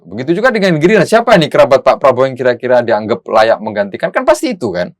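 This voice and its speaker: A man's voice: medium pitch, very animated, fast, no room sound, studio quality. A man giving analytical political commentary as a guest, explaining things in formal, academic vocabulary.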